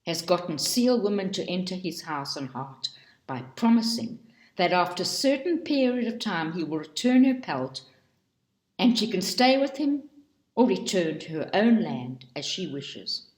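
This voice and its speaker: A woman reading a book aloud in a slow, deliberate and expressive way. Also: lowish voice. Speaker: reader. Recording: roomy echo.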